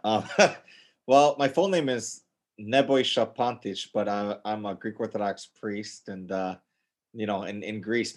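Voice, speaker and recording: An American man speaking as a podcast guest in a casual interview, informal and enthusiastic, often repeating words for emphasis. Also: lowish voice. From United States, north of Chicago. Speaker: podcast guest, Greek Orthodox priest.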